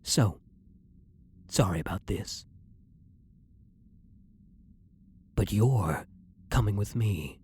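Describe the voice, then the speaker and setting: A man's voice: lowish voice, animated, slow, warm tone, slight background noise. A man playing a blunt, guilt-ridden vampire hunter who is secretly a vampire, in an intimate ASMR audio roleplay monologue. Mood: grim, determined.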